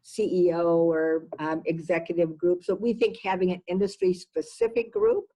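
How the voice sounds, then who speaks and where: Lower-voiced woman executive in an interview. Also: level tone, normal speed, warm tone, rough recording. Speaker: business executive being interviewed. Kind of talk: conversational interview answers.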